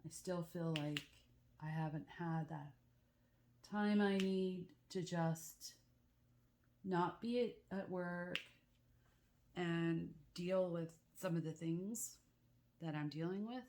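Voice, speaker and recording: A woman chatting casually at home in a meandering, confiding way, her voice rough and congested from a cold. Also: fairly low pitch, warm tone, a little hiss or hum. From Canada. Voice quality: rough, croaky. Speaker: craft vlogger. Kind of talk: casual chat while crafting. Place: at home with the back door open.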